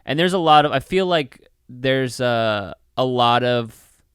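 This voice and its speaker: A man's voice: medium pitch, animated, slow, a bit bright, clean tone, dry recording, studio quality. A man co-hosting a podcast, chatting casually about films in a profane, slangy way. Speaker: podcast co-host. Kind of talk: casual film review chat.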